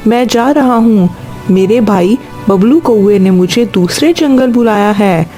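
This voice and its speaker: A woman's voice: mid-range voice, mellow. A woman voicing an adult male crow, a caring family father, in a Hindi cartoon story with plain, exclaiming delivery.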